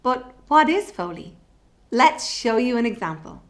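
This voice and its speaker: A middle-aged woman presenting a children's workshop in a clear, deliberate, expressive way. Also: fairly high pitch. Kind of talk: scripted lesson presentation for children. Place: small indoor room. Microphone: microphone on the desk close by.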